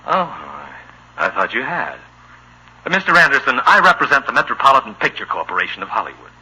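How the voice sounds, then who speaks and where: An actor playing a polite, formal American Hollywood talent scout in a radio situation comedy. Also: male voice, medium pitch, big pitch swings, fast talker, breathy edge, full character voice, low-quality recording. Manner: courteous, formal, chuckling. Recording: old, bright radio broadcast recording.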